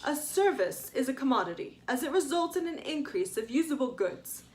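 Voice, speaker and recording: Lady doing a male school teacher in a storybook, declaiming, pitched up high. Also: very animated, normal speed, a little mellow. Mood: lecturing.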